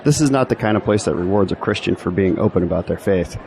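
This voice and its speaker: A man's voice: deep voice, fast talker, a little mellow, some chatter behind. An actor playing a self-deprecating young male graduate in an audio drama, with a dry delivery. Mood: sincere. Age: young adult. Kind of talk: scripted audio drama dialogue. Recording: faint outdoor background noise.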